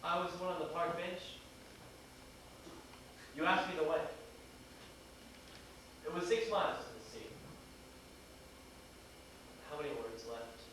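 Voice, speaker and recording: Guy acting a lovesick young gent onstage, measured, far from mic with faint noise. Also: on the high side, expressive, pushed and bright. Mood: earnest. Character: love-struck young gentleman rationing his words. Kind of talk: amateur stage play acting. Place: theater stage, recorded from afar. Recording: echoey theater, distant mic, faint noise.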